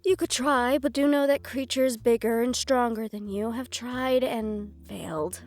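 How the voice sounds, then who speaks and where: A woman's voice: on the high side, a little slow, darkish tone, no room sound. A woman voicing a composed, condescending female mafia underboss in an audio roleplay, mock-polite and coolly threatening. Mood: taunting.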